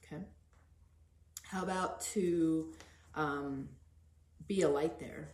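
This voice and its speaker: A woman hosting an informal podcast interview, gently prompting and encouraging. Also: deep voice, fairly even intonation, takes it slowly. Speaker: podcast host. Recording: roomy echo.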